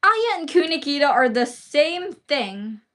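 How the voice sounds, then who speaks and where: A woman's voice: high voice, big pitch swings, measured pace, a bit bright. Woman streamer from the Philippines, Bisaya speaker, loose rambling chat full of fillers and casual swearing. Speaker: livestreamer.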